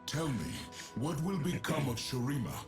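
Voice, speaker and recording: A man's voice: medium pitch, big pitch swings, normal speed, natural voice. Casting: a man to play a proud fantasy game emperor, commanding and theatrical, with swooping intonation over music. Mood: stern, imperious. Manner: grand, formal, commanding declamation. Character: imperious male emperor, proud, commanding, ancient. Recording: music behind the voice.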